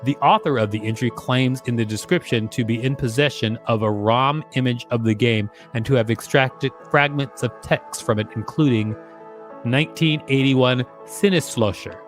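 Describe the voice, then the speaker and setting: A man co-hosting a podcast, reading an article aloud and breaking in with teasing, chatty asides. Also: medium pitch.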